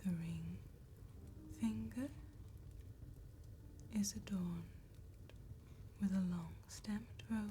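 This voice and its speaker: Woman acting as courteous female vampire, ASMR roleplay, breathy whisper, mellow tone, formal deliberate phrasing, faint background noise. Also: mid-range voice. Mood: hushed, descriptive. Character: genteel female vampire tattooist, elegant and courteous.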